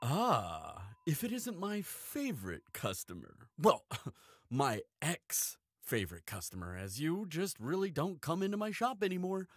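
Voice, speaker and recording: A man's voice: on the high side, huge pitch swings, measured pace, no echo, clean studio sound, full character voice. A man playing a cheeky, shrewd weaponsmith in an audio roleplay, with a playful, theatrical delivery. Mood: mock-wounded, playful. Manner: playful, mock-wounded, dramatic. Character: cheeky, theatrical male weaponsmith and shrewd old family friend. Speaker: guest voice actor in audio roleplay. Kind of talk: scripted audio drama acting.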